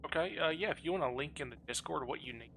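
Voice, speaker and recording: A man's voice: a little high, fast, crowd noise. A man leading a group of players, talking casually and jokingly during a game. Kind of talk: casual gaming chat.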